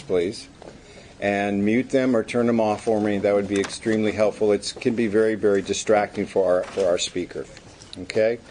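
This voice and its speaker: A man's voice: medium pitch, darkish tone, a little hiss or hum. A man hosting a meeting, giving informal announcements and introducing the speaker with restarts. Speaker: meeting host.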